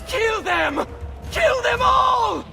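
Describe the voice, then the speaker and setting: A haughty young Italian villain from a video game. His voice is very high and piercing, with slow, swooping delivery. He taunts and sneers in an Italian accent. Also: animated, gravelly, raised voice, over music, heavily acted. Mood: furious, commanding. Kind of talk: video game cutscene acting.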